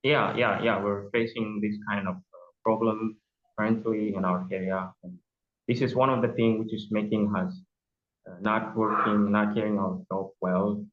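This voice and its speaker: A man's voice: on the low side, steady tone, moderate pace, a little hiss or hum. Young Ethiopian man on a tinny line. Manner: hesitant, repeats words while searching for them. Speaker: judge, guest. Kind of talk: remote interview. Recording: tinny phone line with echo.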